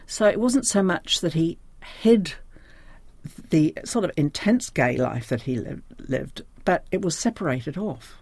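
A woman's voice: deep voice, lively intonation, measured pace, a little mellow, breathy edge, no room sound. An older woman with a New Zealand accent speaking reflectively as an interview guest, thoughtful and often searching for her words. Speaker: interview guest, diary editor.

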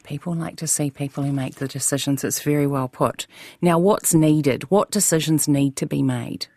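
A radio interviewer asking questions in a measured, polite, courteous way. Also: medium pitch, a little mellow, no room sound.

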